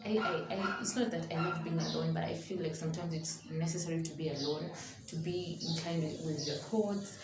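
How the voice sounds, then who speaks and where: A woman, chatting casually to camera as a vlogger and often rephrasing herself. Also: low voice. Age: early 20s, twenty-one. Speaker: YouTube vlogger. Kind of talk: casual sit-down chat to camera. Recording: echoey room with clear background noise.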